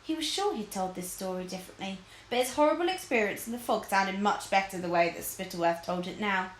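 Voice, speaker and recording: A woman reading a children's story aloud as a teacher would, with expressive narration, in an echoey room. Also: mid-range voice, a little fast, slight background noise.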